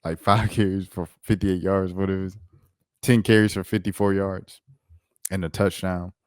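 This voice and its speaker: A man's voice: deep voice, level tone, moderate pace, clear, resonant tone, dry recording, clean studio sound. An American man giving a casual, opinionated sports rant in a slangy, incredulous, emphatic way, repeating himself for effect. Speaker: sports commentator, show host.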